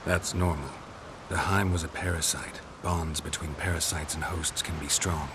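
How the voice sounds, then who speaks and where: Very deep, stoic monster hunter. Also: male voice, normal speed, noisy background. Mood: calm, matter-of-fact. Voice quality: low, gravelly. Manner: deadpan, terse. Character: gruff, stoic male monster hunter with dry humour. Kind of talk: video game voice acting.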